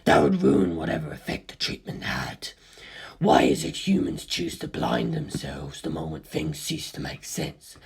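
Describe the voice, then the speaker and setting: A narrator voicing an ancient, arrogant superhuman male villain in an audiobook, lecturing humans with cold contempt in a rasping, breathy growl. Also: extremely low pitch, pitched below the performer's own voice, exaggerated voice. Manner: condescending, lecturing, disdainful. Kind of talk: audiobook character dialogue.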